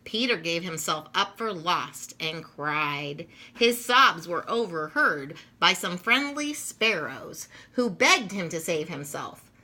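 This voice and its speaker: Animated woman reading a children's storybook. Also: lowish voice, slow, hardly any pauses. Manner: expressive, dramatic emphasis for young listeners. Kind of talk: children's storybook read-aloud with informal introduction.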